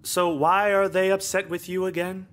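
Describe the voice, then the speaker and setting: An actor playing a smarmy, predatory school counselor in a visual novel, smooth-talking, insinuating and condescending. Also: male voice, high-pitched, little pitch movement, moderate pace, fairly bright tone. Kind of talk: visual novel game voice acting.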